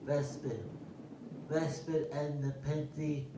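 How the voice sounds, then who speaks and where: A man playing a grave, commanding poetry reciter who speaks with slow, deliberate emphasis. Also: on the high side, flat delivery, mellow, echoey room, a little hiss or hum, rough recording. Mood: grave, consoling.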